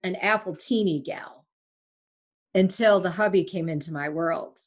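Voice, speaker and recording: A woman's voice: lowish voice, a little slow. A woman from Sri Lanka in her forties, a longtime broadcaster, talking candidly and emphatically as a guest in a remote interview. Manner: emphatic, candid, animated storyteller. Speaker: broadcaster and podcast host, here the guest. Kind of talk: casual interview chat. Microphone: remote call.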